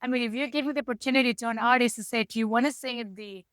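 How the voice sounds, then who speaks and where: A middle-aged woman from Ecuador, speaking English with an Ecuadorian Spanish accent as a guest musician, lively and sometimes searching for words. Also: fairly high pitch, very fast, no room sound, clean studio sound. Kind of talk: casual interview chat.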